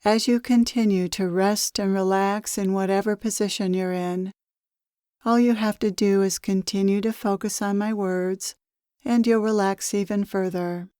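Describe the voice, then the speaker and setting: A woman leading a guided meditation as a spiritual teacher, speaking in a soothing, measured and gently instructive manner with pauses. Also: mid-range voice, fairly even intonation, clear, resonant tone, no echo, studio quality.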